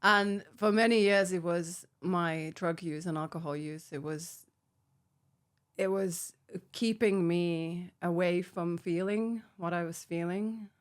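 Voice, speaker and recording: A woman's voice: lowish voice, steady tone, slow pace. A middle-aged woman from Finland with a Finnish accent, talking frankly about her recovery as a podcast guest and laughing often between phrases. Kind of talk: candid personal recovery story.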